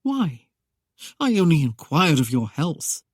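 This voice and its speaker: Man voicing a roguish young man, teasing, mock-innocent, slightly husky, dry close read. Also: fairly high pitch, big pitch swings, slow, dry recording, full character voice. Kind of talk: audiobook character voice.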